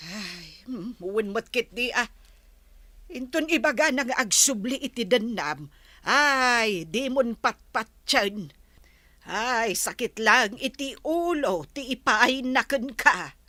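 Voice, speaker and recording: A fretful, sympathetic older woman in a radio drama. She talks slowly in a bright, fairly high voice, full of sighing interjections. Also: expressive, no echo, full character voice. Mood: worried, sympathetic. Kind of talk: Philippine radio drama acting.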